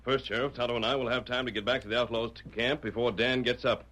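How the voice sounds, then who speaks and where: An actor playing an earnest, commanding masked hero with formal diction in an old-time radio western. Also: male voice, mid-range voice, steady tone, quick pace, a bit bright, natural voice. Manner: formal, clearly phrased, authoritative.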